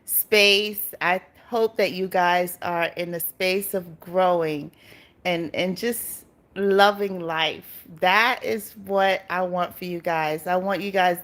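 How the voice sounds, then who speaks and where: A woman's voice: fairly low pitch, fairly even intonation, takes it slowly, a bit bright. Middle-aged woman from Barbados, live-stream host, chatty, greets viewers and laughs often. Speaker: YouTube channel host.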